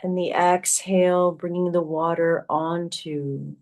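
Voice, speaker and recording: A woman yoga teacher leading a guided meditation in a soothing, gently instructive way, leaving long pauses between phrases. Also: lowish voice, steady tone.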